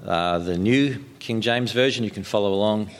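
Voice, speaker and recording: A man from New Zealand with a New Zealand accent, a pastor giving a sermon in a halting, conversational way with many ums and restarts. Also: on the low side. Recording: long hall reverb.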